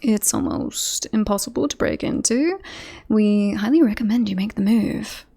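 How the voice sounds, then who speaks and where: A woman playing a devoted bodyguard in an ASMR roleplay, politely reassuring and professional on the surface, with an obsessive streak slipping into hushed intimacy. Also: mid-range voice, normal speed, creaky, slightly rough. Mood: flustered, covering up. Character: devoted yandere bodyguard woman, composed front over creepy obsession.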